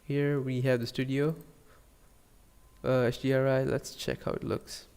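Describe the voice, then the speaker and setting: A man with a Sri Lankan accent giving a casual software tutorial, thinking aloud in a hesitant murmur as he works. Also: medium pitch, monotone, measured pace, smooth, in a large hall. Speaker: 3D animation tutorial streamer.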